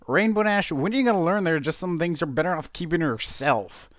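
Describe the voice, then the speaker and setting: A feisty country pony mare, as a man reads her, folksy and blunt, set a little above a man's middle pitch. She is a plain-spoken cartoon cowgirl in a dryly recorded storybook reading. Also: animated, fast, dry recording, clean studio sound, close to an everyday voice. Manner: folksy, blunt drawling idiom.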